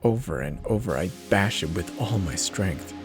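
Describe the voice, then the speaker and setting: A man's voice: low voice, expressive, faint music behind. A man giving a theatrical, intense first-person dramatic reading as a horror story narrator. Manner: theatrical, measured, intense delivery.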